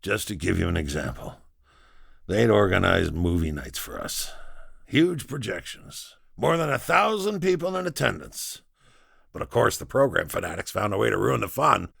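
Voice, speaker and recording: An actor playing a weathered, foul-mouthed older former labourer, reminiscing wryly in a gravelly voice in an audio drama monologue. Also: male voice, on the low side, big pitch swings, a bit bright, dry recording, exaggerated voice. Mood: sardonic grumbling. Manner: conversational, hesitant pauses, dry chuckles, swearing.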